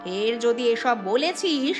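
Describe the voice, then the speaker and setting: A woman's voice: a little high, expressive, measured pace, music in the background. A woman voicing a mean-tempered, scornful auntie and mother in a cartoon story, nagging sharply.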